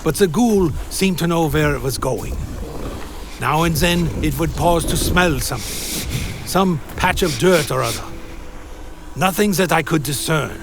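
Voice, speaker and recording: A man playing an anxious vampire who recounts his own dark tale in a dramatic radio play. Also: on the high side, unhurried, background noise. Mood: grim, recounting. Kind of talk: first-person dramatic narration, radio play.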